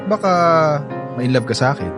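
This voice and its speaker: Man acting cocky young playboy Percy, mid-pitched. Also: sing-song, over music, close to an everyday voice. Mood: cocky, persuasive. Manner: teasing, smug, drawn-out playful delivery. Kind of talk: radio drama acting.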